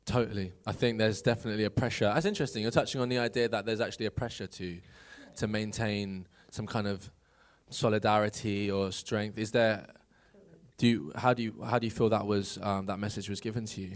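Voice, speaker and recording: A man hosting a mental health interview, asking questions gently and thoughtfully. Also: lowish voice, fairly even intonation, brisk. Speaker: podcast host.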